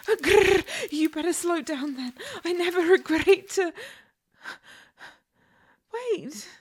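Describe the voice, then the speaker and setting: A woman voicing a playful young catgirl who teases in breathy whispers, meows and purring growls. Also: very high-pitched, slow, darkish tone. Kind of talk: solo audio roleplay.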